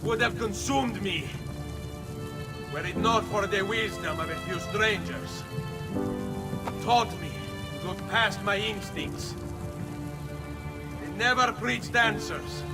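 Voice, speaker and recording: Resolute game nobleman, Italian accent, high projected pitch, declamatory, slow, loud music. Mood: impassioned, resolute. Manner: deliberate, earnest, declamatory. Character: impassioned Italian nobleman assassin addressing his people. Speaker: game character actor. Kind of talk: dramatic oratory, game cutscene.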